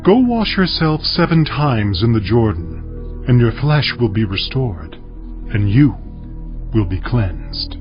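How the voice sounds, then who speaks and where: An actor playing an authoritative prophet in scripture audio drama, solemn and declamatory. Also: male voice, medium pitch, big pitch swings, slow. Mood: solemn, firm. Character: authoritative, commanding Hebrew prophet, man of God. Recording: dull lo-fi, music underneath.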